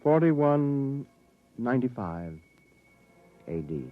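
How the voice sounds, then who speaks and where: A cautious young husband in a 1950s radio play, hesitant and measured. His mid-pitched voice moves up and down a lot. The sound is dull and lo-fi, like an old broadcast. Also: very animated. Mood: doubtful. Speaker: radio drama actor.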